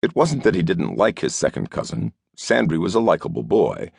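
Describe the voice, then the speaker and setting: A man narrating an audiobook novel in a scripted storytelling style, with a gravelly voice. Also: low-pitched, very animated, normal speed, a little mellow, dry recording, clean studio sound.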